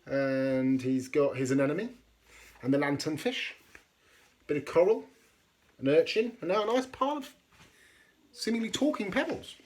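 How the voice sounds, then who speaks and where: A man reading a children's storybook aloud expressively, with dramatic pauses and chatty asides for young listeners. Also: a little high, a little mellow. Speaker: storybook reader.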